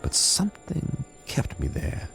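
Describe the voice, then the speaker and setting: An actor playing a wry, world-weary government codebreaker, narrating and chatting in a sardonic, musing radio drama style. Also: male voice, fairly high pitch, wildly swooping pitch, measured pace, creaky, fairly bright tone, soft background music, heavily acted, poor audio. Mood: wry, reflective.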